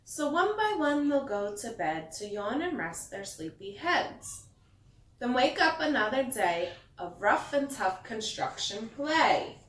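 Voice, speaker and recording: A woman reading a bedtime story aloud to children like a teacher, in an expressive sing-song way that stresses the rhymes. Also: a little high, takes it slowly. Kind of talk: bedtime story read-aloud with asides to young listeners. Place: echoey indoor room. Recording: echoey room, distant mic.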